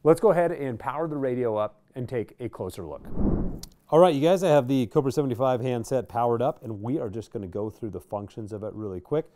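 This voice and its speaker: An American man, around his thirties, giving a casual product demonstration in an informal, halting way with pauses. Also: mid-range voice, dark tone. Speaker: product review presenter. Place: small indoor workshop studio.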